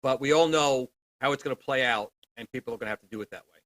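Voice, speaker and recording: A man co-hosting a retro gaming livestream, chatting in an informal, animated way. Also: medium pitch, quick pace, dry recording. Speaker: co-host of a retro gaming show. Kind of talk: casual livestream chat.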